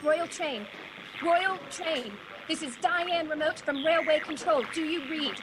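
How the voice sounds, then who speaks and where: A woman's voice: sits high, unhurried, noisy background. A teenage girl playing an earnest, dutiful railway control operator in a school radio play. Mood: urgent, worried.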